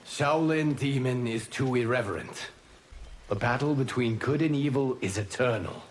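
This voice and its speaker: A man voicing a calm, solemn god in a video game, formal, measured and grave, speaking as a wise keeper of time. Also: mid-range voice, close to an everyday voice. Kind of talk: video game fight-intro dialogue.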